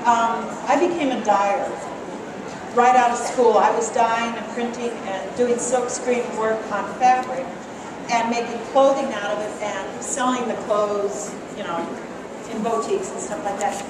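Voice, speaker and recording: Middle-aged quilter lady from colorado, chatty w lots of ums, slightly high voice, big echoey hall, loud background noise. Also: fairly even intonation, a little slow, distant mic. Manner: chatty, self-deprecating, with many fillers. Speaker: quilt artist and teacher. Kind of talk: casual demonstration and interview.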